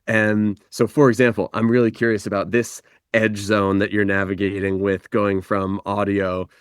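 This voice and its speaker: A man guests on a podcast, reflective and thoughtful, reaching for images as he explains and moving between slow and quick delivery. His voice sits somewhat low. It is recorded close and dry. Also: level tone, clean tone, no room sound. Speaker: podcast guest, life guide. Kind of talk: reflective conversation.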